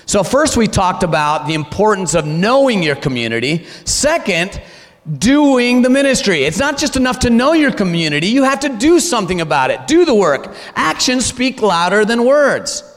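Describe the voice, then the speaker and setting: A man's voice: high voice, big pitch swings, moderate pace. A man preaching a sermon, exhorting the congregation and posing rhetorical questions. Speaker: pastor. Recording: long church hall reverb.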